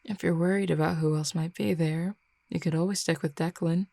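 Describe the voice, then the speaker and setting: A flirty female werewolf, played by a woman in an intimate roleplay audio drama, speaking low with plenty of gravelly fry. She is easygoing and confident, teasing affectionately in a mellow, unhurried way. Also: steady tone, dry recording. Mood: reassuring, inviting. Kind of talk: intimate roleplay audio drama, casual one-sided conversation.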